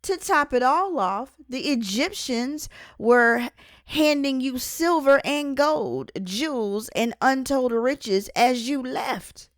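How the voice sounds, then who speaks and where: Slow, deliberate woman reading inspirational teaching. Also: high-pitched, animated, dry recording, studio quality. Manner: deliberate, emphatic phrasing with pauses. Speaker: author and host reading own book. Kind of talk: scripted inspirational audiobook-style reading with Bible teaching.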